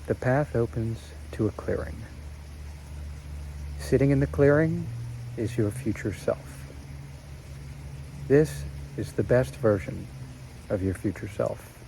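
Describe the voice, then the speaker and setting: A man narrating a guided meditation in a slow, soothing way with long pauses. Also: fairly low pitch, gravelly, dark tone, a little hiss or hum, low-quality recording.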